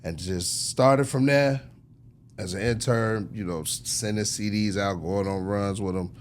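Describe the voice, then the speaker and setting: A middle-aged American man telling his story casually as an interview guest, in slangy conversational speech with frequent throat clearing. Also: fairly low pitch, a little slow, slight background noise. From United States, Chicago. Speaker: interview guest, record executive.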